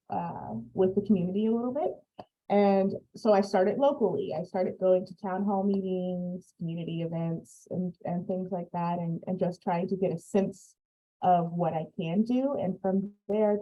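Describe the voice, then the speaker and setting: A young researcher, a woman, telling how she got into science policy on a video call panel, reflective and full of fillers. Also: mid-range voice. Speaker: early career researcher guest. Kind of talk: personal storytelling on a panel. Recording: dull lo-fi call audio.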